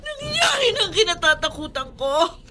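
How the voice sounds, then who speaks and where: A woman's voice: very high voice, very animated, moderate pace, full character voice. A woman playing a meek, wronged young wife in a Filipino radio drama, earnest and melodramatic. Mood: tearful, protesting.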